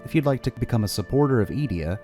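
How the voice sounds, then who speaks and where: A man's voice: mid-range voice, lively intonation, fast talker, dark tone, faint music behind. A man reading a scripted podcast outro as the show's host.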